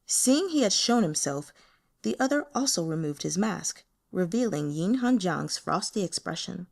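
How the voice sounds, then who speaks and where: Female audiobook reader, fantasy narration, animated and varied intonation, slightly low-pitched to middling voice. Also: moderate pace, gravelly. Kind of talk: scripted narration of a translated fantasy novel.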